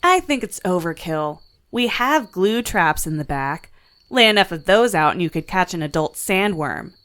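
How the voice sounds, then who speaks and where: A woman's voice: on the low side, lively intonation, moderate pace, a bit bright, clean tone. A woman playing a prickly, sardonic talking head in an audio drama, assertive about being her own individual. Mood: dry. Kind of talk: scripted audio drama acting.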